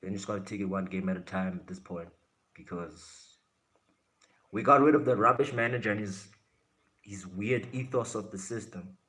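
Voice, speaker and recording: A fairly low-pitched man, chatting loosely to his livestream audience. He is blunt and brash, swearing and throwing jabs at commenters. The talk is relaxed and casual, aimed at the chat. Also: moderate pace. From South Africa.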